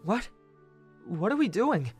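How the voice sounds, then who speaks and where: A woman's voice: medium pitch, sing-song, slow, slightly rough, faint music behind, full character voice. A woman playing a shy, earnest young prince in an audio drama, with a hesitant manner. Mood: unsure.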